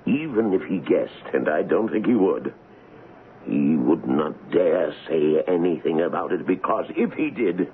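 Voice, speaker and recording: An actor playing a shrewd, commanding royal colonel in a radio drama, speaking with emphatic, persuasive deliberation. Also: male voice, low-pitched, lively intonation, measured pace. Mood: persuasive, insistent. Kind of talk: old-time radio drama acting. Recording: muffled, noisy vintage radio broadcast.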